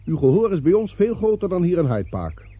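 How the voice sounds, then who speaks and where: Lively, glib newspaper reporter. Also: male voice, sits high, a little fast, breathy edge, no room sound, heavily acted. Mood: polite, sceptical. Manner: formally polite, businesslike, wry. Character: brisk, glib male newspaper reporter, politely sceptical. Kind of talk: radio play acting. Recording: dry, dull old radio play recording.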